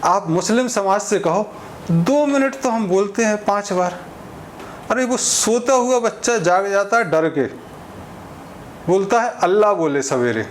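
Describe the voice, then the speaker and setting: A man's voice: high-pitched, lively intonation, measured pace, slight background noise. A man delivering an informal opinion monologue in a conversational way, explaining with everyday examples and imagined exchanges. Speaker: speaker giving social commentary.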